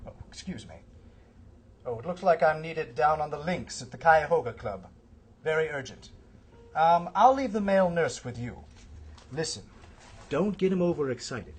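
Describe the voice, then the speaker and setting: A man voicing a pompous, self-important, negligent doctor in a brisk cartoon performance. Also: fairly high pitch, lively intonation, husky. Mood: brisk, bossy. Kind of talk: cartoon voice acting.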